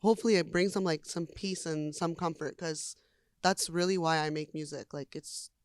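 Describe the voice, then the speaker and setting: A woman's voice: sits low, steady tone, normal speed, no echo. A young adult woman, a singer, talking casually in an interview, chatty with lots of "like" fillers and easy laughter.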